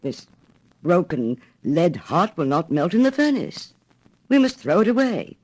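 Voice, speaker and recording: Woman plays storybook foundry boss: baffled, brusque, emphatic, with lively rises and falls. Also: on the low side, measured pace. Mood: puzzled. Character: puzzled, brusque male foundry overseer.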